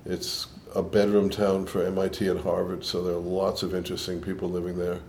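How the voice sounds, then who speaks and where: Older Boston-New York man, very deep voice. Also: completely flat delivery, a little fast, a little mellow, slight background noise. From Boston, USA. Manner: thoughtful, with frequent restarts and fillers. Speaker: interviewed scientist. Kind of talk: reflective interview answers.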